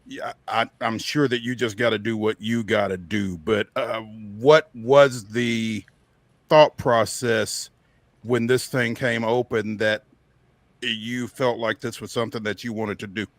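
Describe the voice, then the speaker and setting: A man's voice: fairly low pitch, steady tone, unhurried, no room sound. A man with an American accent hosting a sports talk show and interviewing a guest casually. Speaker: sports radio host.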